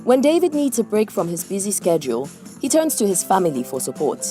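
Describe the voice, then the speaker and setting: A woman's voice: mid-range voice, sing-song, brisk. A woman reading scripted narration for a news feature. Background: music.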